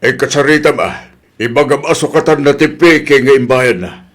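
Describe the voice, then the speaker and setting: A man playing a puzzled, comically slow middle-aged village husband in a radio drama, with exaggerated delivery. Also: mid-range voice, a bit bright, close to an everyday voice. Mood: surprised. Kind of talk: Ilocano comedy radio drama acting.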